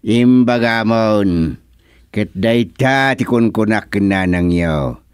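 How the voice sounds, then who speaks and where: An actor playing a chatty, blunt older village husband in an Ilocano comedy radio drama. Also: male voice, lowish voice, takes it slowly, clear, resonant tone, no room sound, studio quality.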